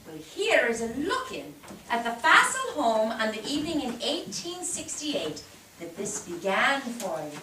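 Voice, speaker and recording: An actress in a staged reading playing a kindly guide who prompts with leading questions. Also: female voice, high voice, sing-song, unhurried. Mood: inquisitive. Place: theatre stage, recorded from a distance. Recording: echoey stage, distant mic, noisy.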